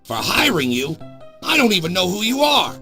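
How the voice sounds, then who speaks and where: An actor playing a gruff, blunt, middle-aged big-city newspaper boss in an adventure game, with music behind him. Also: male voice, high voice, wildly swooping pitch, moderate pace, pushed and bright, exaggerated voice. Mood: indignant. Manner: blunt, bossy, emphatic. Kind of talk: scripted adventure game acting. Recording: music under the voice.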